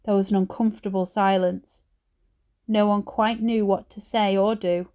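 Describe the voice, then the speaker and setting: Lady teacher reading a kids book out loud, expressive storytelling, medium pitch. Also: moderate pace, clear, resonant tone. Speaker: teacher reading aloud to children.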